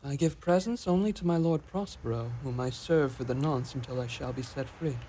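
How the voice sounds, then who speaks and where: An actor playing an enigmatic spirit servant in a radio drama, with measured, archaic diction. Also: male voice, mid-range voice, warm tone, a little hiss or hum, lightly acted, rough recording. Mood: cool, unmoved. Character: airy male sea-island spirit, enigmatic servant of a magician. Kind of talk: mock-Shakespearean radio drama acting.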